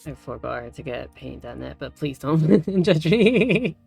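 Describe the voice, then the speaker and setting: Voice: mid-range voice, very animated, measured pace, gravelly, darkish tone, breathy edge. A tutorial host talking casually and thinking aloud, a little unsure, while working through the steps live. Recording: music playing behind.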